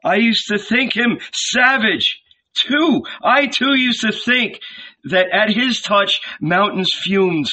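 A fervent male prophet pleads with a crowd in a high, ringing voice, as a male narrator puts him on. He stretches out slow, bright, urgent phrases. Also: animated, heavily acted, rough recording. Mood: imploring. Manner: fervent, imploring, raised voice. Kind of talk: audiobook character voice.

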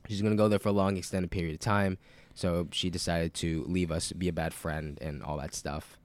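Man, very low voice, nasal, sick with a cold, podcast host, rambling casual chat, swears. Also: level tone, brisk. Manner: loose, rambling and profane, with many fillers.

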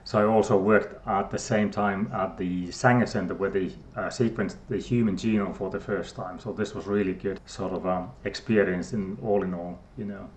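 A man's voice: low-pitched, fairly even intonation, moderate pace, few pauses, a little hiss or hum. A middle-aged man from Finland with a Finnish accent, a guest scientist who talks hesitantly with many fillers and restarts. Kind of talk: casual interview talk.

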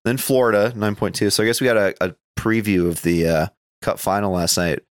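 A man's voice: lowish voice, fairly even intonation, fairly quick, no room sound, studio quality. A man co-hosting a podcast, chatting casually about sports in a rambling, conversational way. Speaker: podcast co-host.

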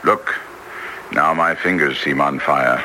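Deep, commanding radio detective, noisy recording. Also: male voice, slow, full character voice. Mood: grim, assured. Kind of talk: old-time radio drama acting.

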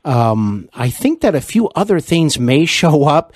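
A man's voice: a little high, sing-song, unhurried, a little mellow, no echo. Encouraging male interview host, dry sound. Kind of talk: conversational interview.

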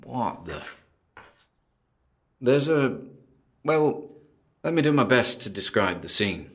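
A man playing an uneasy paranormal investigator in an audio drama, muttering hesitant observations onto tape as he explores, with a gravelly voice. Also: medium pitch, very animated, takes it slowly, heavily acted. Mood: wary, descriptive. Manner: hesitant, muttering observations with sighs and trailing asides. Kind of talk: audio drama found-recording monologue.

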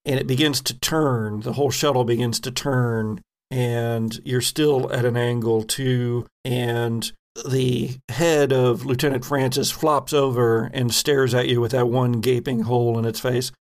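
A man serves as game master, improvising scene descriptions for a tabletop game. His pace is slow and unhurried, and his voice has a gravelly fry to it. He builds each description haltingly, piece by piece. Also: medium pitch, steady tone.

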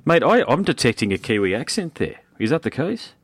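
A man's voice: mid-range voice, sing-song, fairly quick, husky, no echo, studio quality. A young Australian man hosting a podcast interview, chatty and enthusiastic, calling his guest "mate". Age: young adult. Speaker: podcast host. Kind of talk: casual interview.

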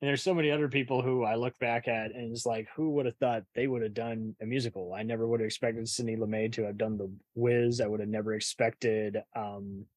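A man's voice: medium pitch, level tone, brisk, hardly any pauses, darkish tone, no echo. A man hosting a casual interview, rambling through his opinions like a keen film buff.

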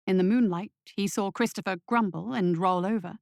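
A woman reading scripted horror fiction as story narrator in a measured, dramatic manner. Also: mid-range voice, gravelly, darkish tone, no room sound, studio quality.

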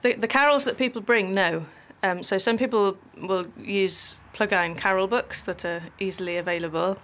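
A woman vicar calmly explaining how a church carol service works, in casual conversation. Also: medium pitch, moderate pace.

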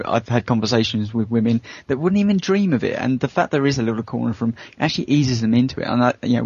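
A man's voice: mid-range voice, vocal fry, a little mellow, breathy edge, no room sound. A man talking casually on a discussion panel, hedging as he makes his points. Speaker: show regular. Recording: dull, lo-fi voice recording.

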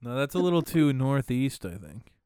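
Man, mid-pitched, dry close sound, joking banter storyteller. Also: big pitch swings, normal speed, warm tone, clean tone, dry recording. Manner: joking storyteller, laughs often. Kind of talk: casual chat.

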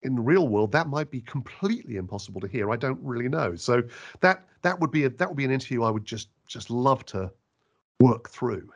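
A man who works as a radio interviewer, answering questions in an animated, emphatic way with frequent restarts. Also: medium pitch, a little fast, dull audio.

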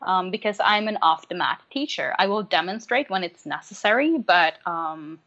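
A woman whose first language is Norwegian, a yoga teacher telling a story in a casual conversation, pausing often with ums. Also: on the low side, lively intonation, fairly bright tone, muffled recording. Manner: reflective, many ums and hesitations.

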